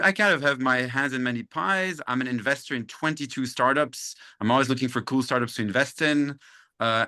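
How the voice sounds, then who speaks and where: A man's voice: medium pitch, pushed and bright. A Belgian-American man introducing himself as the guest investor on an online meetup call, upbeat and enthusiastic. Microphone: video call.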